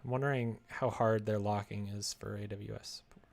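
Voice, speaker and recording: A man's voice: on the low side, fairly even intonation, fairly quick, gravelly. A man live streaming as he develops a game, chatting casually and muttering to himself as he works at his desk. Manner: muttering to himself between replies to chat. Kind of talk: casual chat while working. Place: indoors at a computer desk.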